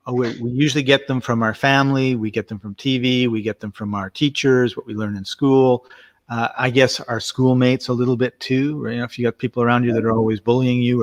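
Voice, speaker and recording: A man hosting a casual remote chat, joking around and explaining ideas through long spoken analogies. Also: mid-range voice. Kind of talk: casual podcast chat over a call. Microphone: remote call mic.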